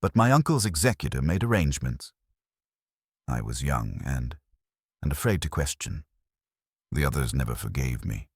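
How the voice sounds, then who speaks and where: A man's voice: a bass voice, expressive, normal speed, long pauses, breathy edge, dry recording, studio quality, heavily acted. A man voicing a frightened but proud young society woman in a mystery story, murmuring and hesitant. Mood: anxious, resigned.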